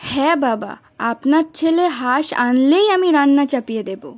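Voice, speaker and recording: A woman performs a dutiful young housewife in a village cartoon. Her voice is very high for a woman. She speaks in a plain, homely manner as a daughter-in-law of the house. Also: animated, normal speed, slight background noise. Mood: respectful, obliging. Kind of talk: Bengali moral-story cartoon dubbing.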